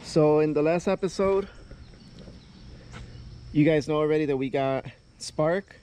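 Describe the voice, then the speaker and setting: A man's voice: fairly high pitch, unhurried, mellow, clean tone. A man narrating a car repair step by step as he works outdoors in a yard, filmed on a head-mounted camera. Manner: explains each step as he works. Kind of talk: casual hands-on narration. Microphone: head-mounted action camera.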